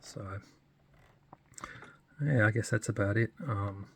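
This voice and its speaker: A man giving a casual, unscripted hands-on demo as a hobbyist, thinking aloud in a hesitant, understated way with a husky, gravelly voice. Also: fairly low pitch, fairly even intonation, slow, fairly bright tone, slight background noise. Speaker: radio hobbyist presenting a modification demo.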